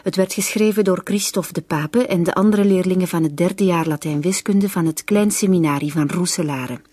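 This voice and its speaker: A woman with a husky voice, reading a scripted introduction to a radio play as announcer. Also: lowish voice, normal speed, mellow, no echo, studio quality. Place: dry studio.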